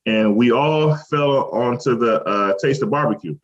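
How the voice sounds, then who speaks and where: A man who owns a restaurant, talking in a relaxed, rambling way with lots of fillers as an interview guest, from Cleveland. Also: medium pitch, a little slow. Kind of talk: casual interview talk. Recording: lo-fi muffled audio.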